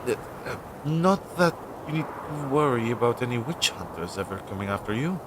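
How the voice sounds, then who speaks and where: A young man playing an anxious, earnest and loyal crow familiar in a roleplay audio drama, hesitant and chatty as he thinks aloud, on a low-quality amateur recording. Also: lively intonation, normal speed, background noise, natural voice. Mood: protective, flustered. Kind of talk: roleplay audio drama, intimate one-sided dialogue.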